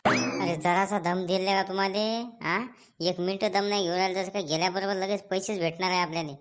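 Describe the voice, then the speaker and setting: A woman's voice: lowish voice, flat delivery, fairly quick, music in the background, close to an everyday voice. A woman voicing a fussy, pestering village man in a comedy cartoon, complaining colloquially in a Varhadi Marathi accent from Vidarbha. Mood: annoyed, cautious.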